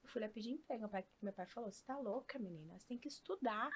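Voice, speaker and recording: A woman, telling her career story as a podcast guest in lively casual chat with quick laughs. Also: medium pitch, slightly rough. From Rio Claro, São Paulo state, Brazil. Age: 40s.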